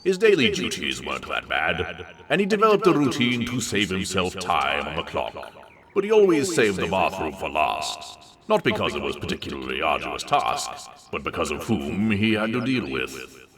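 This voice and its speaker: A man reading a comic story aloud in a theatrical, expressive narration with wry delivery. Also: low-pitched, moderate pace, distant mic. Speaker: story reader.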